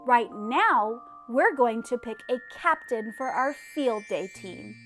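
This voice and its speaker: A woman voicing a firm, patient female kindergarten teacher in a storybook, giving measured instructions. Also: on the high side, animated, a bit bright, soft background music. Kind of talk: children's storybook character reading.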